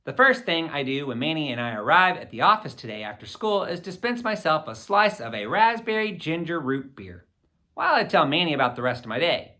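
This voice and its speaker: A man reading a children's story aloud in an expressive, boyish first-person narration. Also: on the high side, a little fast. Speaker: audiobook reader.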